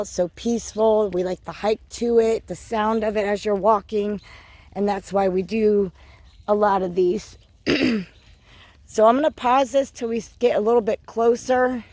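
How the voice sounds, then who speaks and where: A woman with a hoarse voice from a cold, chatting casually as she hikes a wooded trail with her dogs, filling pauses with lots of ums. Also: medium pitch, a little mellow. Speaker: hiking vlogger. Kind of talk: casual walking vlog.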